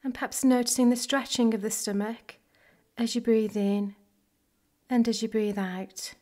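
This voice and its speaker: A woman leading a guided body scan meditation in a soft, gentle, measured way. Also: a little high, steady tone. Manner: gentle, measured, unhurried phrasing with pauses.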